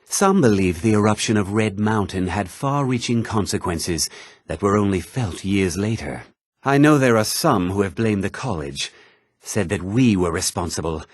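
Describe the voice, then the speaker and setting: An actor voicing a learned, haughty mage scholar in a fantasy video game, speaking formally and with measured, precise diction. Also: male voice, on the low side, expressive, dry recording, clean studio sound. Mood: grave, scholarly. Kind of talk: scripted fantasy game dialogue.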